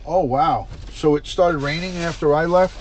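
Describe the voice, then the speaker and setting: A man's voice: a little high, moderate pace, a little hiss or hum. A middle-aged man, a retired detective, hosting a casual livestream, chatty and stuffed up, sniffling as he greets viewers by name. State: congested, stuffed-up nose, sniffling.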